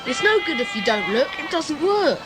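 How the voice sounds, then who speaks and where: A woman's voice: high voice, big pitch swings, normal speed, over loud music. A woman playing an eager, anxious young boy in a radio play, earnest and pleading. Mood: pleading, insistent.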